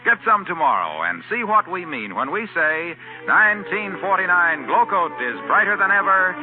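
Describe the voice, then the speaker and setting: A male announcer reading 1940s radio advertising in a polished salesman delivery, with a husky voice. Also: high voice, big pitch swings, normal speed, over music. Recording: muffled vintage radio broadcast.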